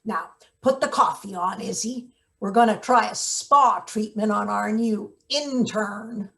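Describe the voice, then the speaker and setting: A woman's voice: mid-range voice, unhurried, vocal fry, fairly bright tone. A woman playing a menacing, sardonic late middle-aged maintenance worker in a table read of a satirical play. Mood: bullying, bitter. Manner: sardonic, interrogating, theatrical threats.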